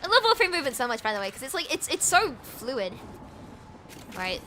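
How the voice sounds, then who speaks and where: Bubbly high-pitched young woman streamer. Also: big pitch swings, brisk, pushed and bright, a little hiss or hum. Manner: bubbly, giggly and rambling, repeating words; switches between British and American accents. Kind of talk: casual chat while gaming.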